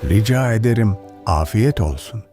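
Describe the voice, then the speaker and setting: A man's voice: on the low side, lively intonation, normal speed, faint music behind. An actor playing a kind, pious old village grandfather who teaches patiently in a children's cartoon. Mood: friendly, caring. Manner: instructive, gentle moralizing. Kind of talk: educational children's cartoon dialogue.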